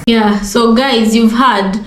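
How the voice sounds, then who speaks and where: A woman from Africa co-hosting a reaction talk show, giving reflective, measured commentary. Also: fairly high pitch, fairly even intonation, roomy sound, rough recording. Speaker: co-host.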